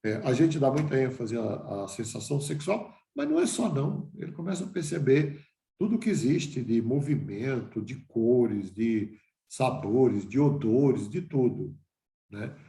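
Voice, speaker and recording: A man's voice: mid-range voice, big pitch swings, measured pace, gravelly, mellow, reverberant. An older man giving a reflective lecture to a class, asking himself questions and restarting phrases.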